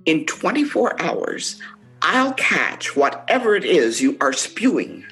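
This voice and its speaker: Radio drama germophobe, played by a woman: female, prim and indignant, slightly low voice, unhurried but very expressive. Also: faint music behind, full character voice, poor audio. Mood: exasperated, prim. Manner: precise, prissy, indignant. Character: prim, fussy germophobic woman, anxious and easily exasperated. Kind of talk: radio play acting. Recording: home-recorded virtual radio play.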